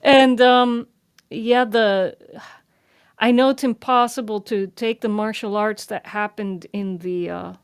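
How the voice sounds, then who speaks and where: A woman chatting casually as a podcast panelist, halting and repeating words as she talks. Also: medium pitch, fairly even intonation, smooth, no echo, studio quality. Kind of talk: casual chat.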